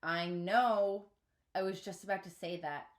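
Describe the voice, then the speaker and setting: A woman's voice: medium pitch, steady tone, measured pace, lightly acted. A woman reading a storybook voices a bossy, smug schoolgirl captain with a haughty, emphatic, impatient delivery.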